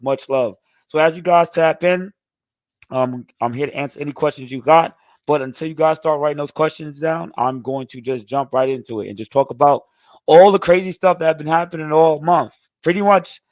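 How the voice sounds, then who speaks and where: Hoarse laid-back man in his thirties. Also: a little high, darkish tone, no echo. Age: 30s. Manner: laid-back, conversational, frequent "you know what I'm saying". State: hoarse, recovering lost voice. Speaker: channel host answering live chat. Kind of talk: casual live stream Q&A.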